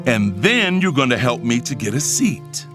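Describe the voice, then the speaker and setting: An actor playing a scheming, arrogant middle-aged billionaire in an audio drama, both speaking in scenes and narrating, with a smug, commanding manner. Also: male voice, medium pitch, big pitch swings, moderate pace, natural voice. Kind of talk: audio drama acting with first-person narration. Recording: music under the voice. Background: music.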